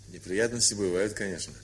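A good-natured grown man in a Soviet radio drama, frank and calm. His low voice is measured and gently teasing, with a faint hum on the old recording. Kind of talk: Soviet radio play acting.

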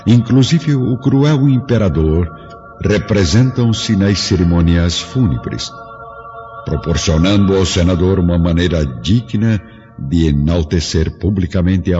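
A man's voice: deep voice, dark tone. A man narrating a radio drama in a solemn, formal, scripted style. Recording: dull lo-fi audio, faint music behind.

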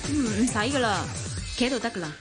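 An actress plays a gentle younger sister with a high voice. She is well-bred, polite and anxious in radio drama style, over clearly audible music. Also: lively intonation, fast talker, close to an everyday voice. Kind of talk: Cantonese radio drama acting.

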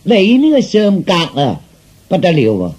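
A man acts out a grandiose street fortune teller from a comic audio story. His husky, fairly high voice swings widely as he flatters and haggles. The patter is slow, declamatory and sing-song, drawn out for emphasis. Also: heavily acted. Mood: grandiose pronouncing. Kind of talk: theatrical Cantonese comic storytelling.

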